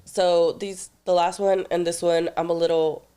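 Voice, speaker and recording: A young woman hosting a podcast, hoarse and nasal from a cold, in a laid-back casual chat. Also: lowish voice, little pitch movement, normal speed, mellow. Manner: laid-back, says "bro" and "like" often. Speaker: podcast host.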